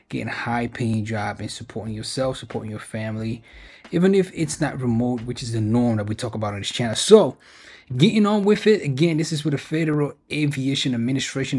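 Husky-voiced man explaining job openings. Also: mid-range voice, a little mellow. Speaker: video host sharing job openings. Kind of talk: informal explainer commentary reading from a web page.

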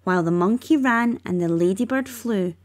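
A woman reading rhyming children's narration aloud, expressively and with measured emphasis. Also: mid-range voice, darkish tone. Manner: measured, emphatic on sound words. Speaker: storybook reader.

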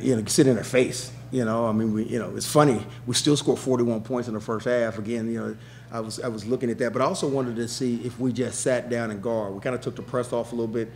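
A middle-aged man answering questions as a head coach at a press conference, with quick, emphatic answers and lots of restarts, speaking into a table mic in a press room. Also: mid-range voice, fairly even intonation, a little mellow, a little hiss or hum. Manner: rapid, repetitive restarts, emphatic.

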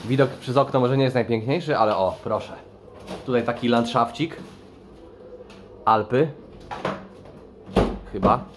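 A man's voice: mid-range voice, a little slow, long pauses, noisy background. A man giving casual, colloquial, joking vlog commentary as he follows a drift team.

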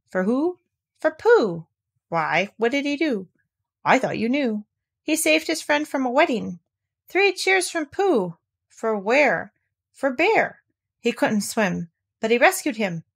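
A woman's voice: high voice, vocal fry, voice pushed up, full character voice. A woman voicing a simple, anxious, hopeful male toy bear in a storybook, earnest and singsong.

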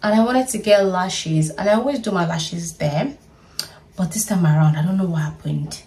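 A woman's voice: lowish voice, normal speed, warm tone, recorded from a distance. A woman, chatting playfully and laughing often as she gets ready at home in her bedroom. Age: 30s. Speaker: vlogger. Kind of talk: casual get-ready-with-me vlog.

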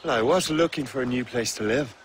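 An actor playing a blunt, determined young sailor hero in a video game. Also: male voice, medium pitch, a little fast, a little hiss or hum, close to an everyday voice. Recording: game audio with music behind.

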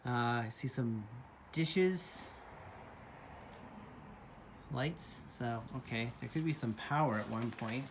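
A man in his thirties hosting a casual vlog tour, talking in a halting, playfully dramatic way into a handheld phone. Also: mid-range voice, background noise. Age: 30s. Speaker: vlog host. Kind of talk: casual first-person video tour. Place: at home indoors, then outdoors and inside an old barn. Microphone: handheld phone at arm's length.

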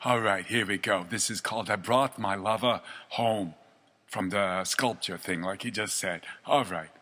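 A man's voice: lowish voice, a little slow, pushed and bright. An American man hosting a casual talk show, chatting in a folksy, repetitive, easygoing way.

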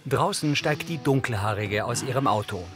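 A man's voice: medium pitch, lively intonation, normal speed, a bit bright, faint music behind. A man reading scripted audio description narration for a television film.

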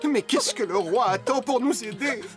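A woman as a grumbling, animated villager. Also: on the high side, moderate pace, loud music behind the voice, lightly acted. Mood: resentful. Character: disgruntled poor villager. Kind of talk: children's cartoon voice acting.

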